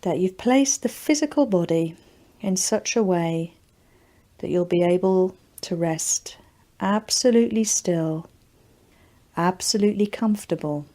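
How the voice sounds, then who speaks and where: Woman doing a guided meditation, really slow n relaxed tone, gentle spaced out phrases. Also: lowish voice. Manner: gentle, deliberate, soothing instruction with spaced phrases. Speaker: yoga nidra guide.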